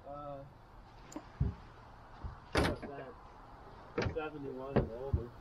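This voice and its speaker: A man's voice: mid-range voice, level tone, very slow. An American man chatting casually and exclaiming coarsely outdoors, picked up off-mic by someone else's handheld camera. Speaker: companion on the tour. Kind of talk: casual chat. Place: outdoors in an overgrown field. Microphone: distant handheld camera. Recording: noisy outdoor audio, off-mic.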